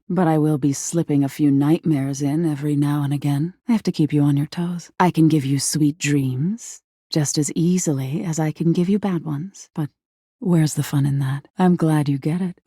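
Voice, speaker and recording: A woman playing a living nightmare spirit in a video game, flirty and menacing yet secretly insecure, with a breathy, teasing delivery that often drops into whispers. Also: deep voice, warm tone, no echo, studio quality. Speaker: voice actor in a dating simulator game. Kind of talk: intimate theatrical video game acting.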